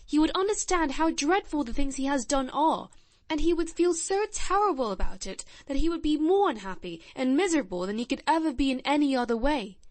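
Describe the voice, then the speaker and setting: A woman's voice: sits high, expressive, fairly quick, no room sound. Woman doing an earnest, solemn little boy. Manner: grave, blunt, shy childlike earnestness.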